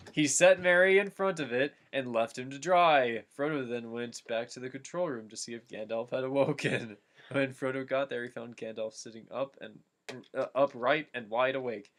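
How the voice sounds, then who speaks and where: A young adult man doing a casual, comedic dramatic reading, halting and stumbling over names as he goes. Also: medium pitch, fairly bright tone. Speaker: amateur story reader.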